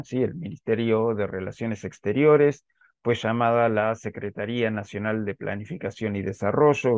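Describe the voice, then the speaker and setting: A man in his forties from Argentina with an Argentine Spanish accent, giving a measured presentation on a video call with frequent fillers. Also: fairly low pitch, no room sound. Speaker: invited speaker, lawyer. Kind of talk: presentation at an online panel. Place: home office with bookshelves. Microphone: headset on a video call. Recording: slightly dull, dry video call audio.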